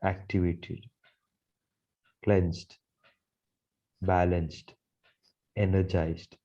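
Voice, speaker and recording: A man leading a guided meditation in a soothing, measured manner, repeating phrases as he goes. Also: sits low, flat delivery, dark tone, muffled recording. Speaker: guided meditation leader, Reiki teacher.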